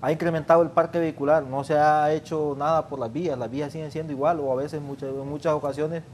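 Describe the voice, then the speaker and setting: A man's voice: on the high side, flat delivery, quick pace, darkish tone. A man on an interview panel explaining traffic enforcement in an earnest, explanatory way, often repeating words. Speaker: traffic official guest. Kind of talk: interview, arguing a point.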